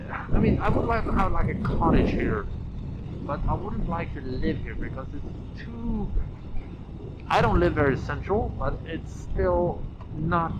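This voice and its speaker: A man rambling casually and haltingly, thinking aloud as he vlogs from a moving motorcycle. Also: a little high. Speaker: motovlogger. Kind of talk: casual rambling vlog while riding a motorcycle. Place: outdoors on a moving motorcycle on a road. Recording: muffled helmet audio, loud wind and engine noise.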